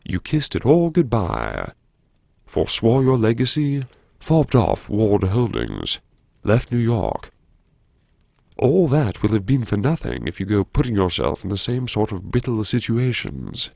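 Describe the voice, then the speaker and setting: A man performing a first-person audiobook monologue as a brooding, wry character who anxiously lectures himself in a theatrical, musing way with dramatic pauses. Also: fairly low pitch, wildly swooping pitch, creaky, darkish tone, no echo, heavily acted. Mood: scolding, sardonic. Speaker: audiobook narrator.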